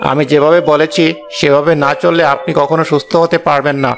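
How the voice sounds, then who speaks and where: Man playing blunt village doctor, highish voice. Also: level tone, a little fast, close to an everyday voice. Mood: firm. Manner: blunt, admonishing. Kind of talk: animated horror drama acting.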